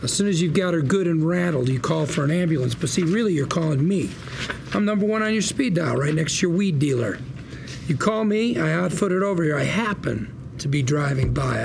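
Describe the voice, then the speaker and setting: An actor playing a slick, fast-talking con-artist lawyer at a drama table read, full of persuasive, slangy patter. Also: male voice, fairly high pitch, lively intonation, gravelly, background noise. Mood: scheming, emphatic. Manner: glib, persuasive patter with colourful slangy quips.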